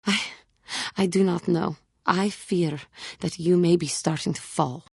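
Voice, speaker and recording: A woman voicing a brooding, remorseful catlike alien Jedi warrior in a video game, speaking formally and earnestly with a husky voice. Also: fairly low pitch, dark tone, dry recording, clean studio sound. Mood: worried, reproachful. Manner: formal, solemn, measured speech without contractions. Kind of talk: video game character acting.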